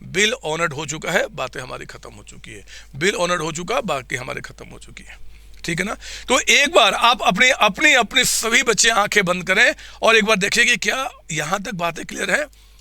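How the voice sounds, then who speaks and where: A man teaching accounts in a live online class, with a slightly hoarse throat, quizzing his students and answering for them in a coaxing way. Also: high voice, sing-song, moderate pace, bright, forceful tone, dry recording.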